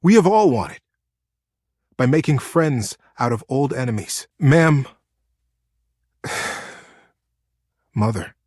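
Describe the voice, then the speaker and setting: A man's voice: medium pitch, sing-song, slow pace, pauses often, darkish tone, no room sound, studio quality. A man voicing a humble young male beaver in a storybook tale, speaking in an earnest, respectful, solemn way. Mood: earnest, hopeful.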